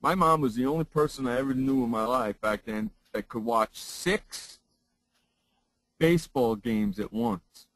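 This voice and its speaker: A man who trains broadcasters, giving a lesson in a loud, emphatic way and repeating phrases for effect. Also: medium pitch, a little slow, no echo, low-quality recording. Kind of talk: casual chat turning into a spoken lesson.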